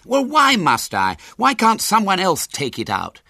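Guy doing a worried whiny schoolboy for an audiobook, high voice, all indignant and worked up. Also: big pitch swings, normal speed, bright, forceful tone, husky, dry recording, studio quality, heavily acted. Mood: bitter, aggrieved. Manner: indignant, plaintive, emphatic.

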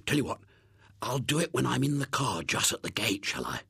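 A man plays a scruffy storybook boy hiding behind a hoarse, husky disguise voice. He is gruff, urgent and mysterious, recorded dry and close. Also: mid-range voice, very animated, a little fast, pushed and bright, no echo, voice pushed up, full character voice. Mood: urgent, evasive.

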